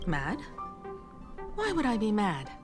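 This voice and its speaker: A gracious, reserved game heroine, slow and soft-spoken with lively intonation. Formal and poised, with music clearly behind her. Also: mid-range voice. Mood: polite, reserved. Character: poised, gracious grown daughter, gently reserved. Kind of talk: video game voice acting.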